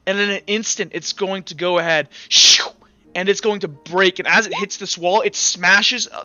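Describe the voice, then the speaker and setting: A man with a high, crisp voice works as game master, narrating in a casual, stop-and-start way with plenty of swearing. The sound is dry and slightly muffled. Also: sharp tone, dry recording. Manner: halting, casual, swears freely. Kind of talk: improvised tabletop game narration.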